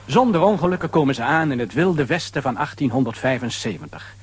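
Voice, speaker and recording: A man narrating a children's radio play as a dramatic, animated storyteller. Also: medium pitch, fairly quick, husky, no room sound.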